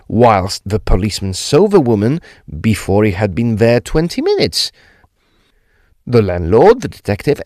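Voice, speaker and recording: An actor playing an earnest Edwardian gentleman, recounting a mystery in formal, emphatic phrases for an audio drama. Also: mid-range voice, no echo, clean studio sound. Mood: pondering. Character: earnest, animated Edwardian English gentleman telling a ghost mystery. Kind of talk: period audio drama storytelling.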